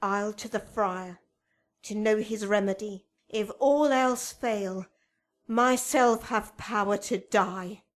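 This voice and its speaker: An actress playing a desperate, passionate young noble daughter in love, in an impassioned Shakespearean radio drama style. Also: female voice, medium pitch, slow pace, close to an everyday voice. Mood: bitter, resolved. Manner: theatrical, impassioned, declaiming.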